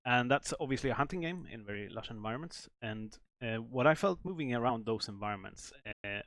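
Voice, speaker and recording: A man from Sweden, a game developer explaining at length in a stream interview, with many pauses and restarts. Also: mid-range voice, lively intonation, fairly bright tone, no room sound.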